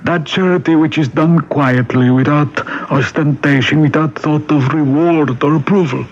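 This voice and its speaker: Actor as a husky, reverent parish priest. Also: male voice, a little high, steady tone, normal speed, lightly acted. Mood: earnest praise. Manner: solemn, florid, effusive. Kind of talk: 1970s radio mystery drama acting. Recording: dull vintage radio broadcast.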